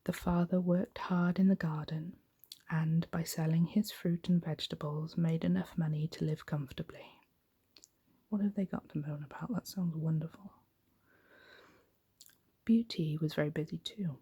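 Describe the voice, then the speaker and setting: A woman giving a soft-spoken, gentle, hushed ASMR reading of a bedtime story in a breathy, whispery voice. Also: low-pitched, fairly even intonation, normal speed.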